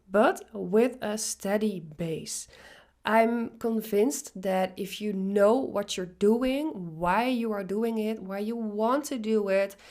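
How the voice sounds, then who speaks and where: A woman's voice: mid-range voice, a little mellow. Dutch-accented woman, earnest podcast host. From Netherlands. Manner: earnest, determined self-introduction. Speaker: business coach, podcast host. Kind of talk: solo podcast monologue.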